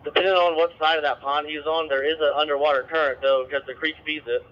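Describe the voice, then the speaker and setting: Fast-talking man on a muffled scanner radio. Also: on the high side, steady tone. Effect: two-way radio. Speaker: emergency responder in the field. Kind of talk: terse radio traffic.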